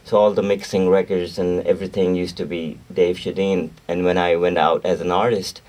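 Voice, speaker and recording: A man who speaks Urdu as his first language talks through a radio interview in a very low voice, rambling and restarting his sentences. He sits a little back from the microphone. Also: little pitch movement, moderate pace, darkish tone, distant mic. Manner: rambling, self-correcting, laughs easily. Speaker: guest singer-songwriter.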